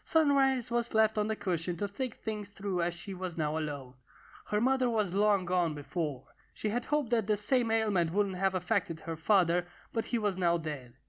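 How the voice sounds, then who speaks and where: Expressive fan fiction storyteller. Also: medium pitch, normal speed. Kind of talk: scripted story narration with character voices.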